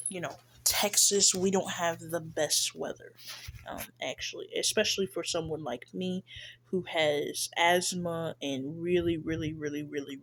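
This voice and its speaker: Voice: medium pitch, fairly bright tone. Stuffy, shy, hesitant commentator. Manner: shy, hesitant, many fillers and restarts. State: stuffy, congested nose. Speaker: reaction video creator. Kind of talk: casual commentary on a played clip. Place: lives in Texas.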